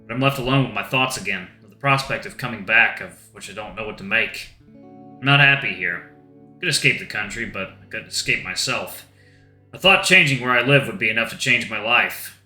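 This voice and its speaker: A man's voice: lowish voice, sharp tone. A man narrating a visual novel in the first person, in a reflective, subdued way. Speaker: let's-play narrator. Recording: roomy echo with faint guitar music.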